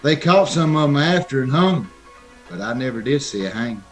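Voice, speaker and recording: An American man playing a simple, timid old soldier drifter in a radio Western, meek and halting. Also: medium pitch, very animated, soft background music, close to an everyday voice. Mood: artless. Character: simple, timid, homely Yankee veteran drifter.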